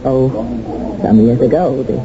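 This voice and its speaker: A frank, pointed woman academic. She speaks directly in 1970s radio drama style. The recording is muffled, with clear noise behind her. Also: very deep voice, unhurried. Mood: frank, challenging. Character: scarred female Latin American literature professor, frank and vengeful. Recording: dull old radio recording, noisy background.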